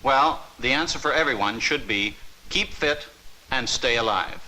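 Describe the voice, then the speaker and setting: A man giving scripted, measured narration for an instructional training film from the 1950s, stressing key words as he explains. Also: mid-range voice, animated, bright, forceful tone, low-quality recording.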